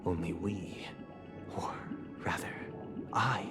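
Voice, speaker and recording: Slow, solemn, courteous idealist. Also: male voice, low-pitched. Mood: persuasive, grave. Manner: measured, formal, courteous, oratorical. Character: composed, solemn male idealist leader with grand convictions. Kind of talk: dramatic video game voice acting. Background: background music.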